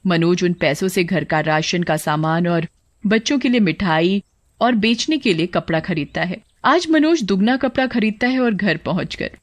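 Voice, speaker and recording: A woman's voice: lowish voice, moderate pace, no room sound. A woman giving scripted narration for a cartoon story as the storyteller.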